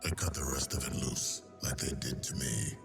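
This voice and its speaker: Extremely deep, echoing game monster. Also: male voice, moderate pace, vocal fry, faint music behind. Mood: menacing. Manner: ominous, commanding. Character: menacing, imperious male monsters and undead warlords. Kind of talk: video game voice acting.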